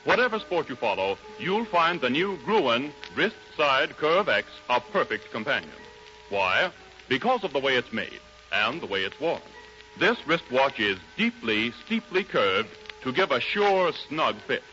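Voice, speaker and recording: A man's voice: mid-range voice, sing-song, measured pace, sharp tone, faint music behind. An American man announcing a 1930s radio commercial in an emphatic, polished delivery. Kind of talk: 1930s radio advertising pitch. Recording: dull old 1930s radio broadcast recording.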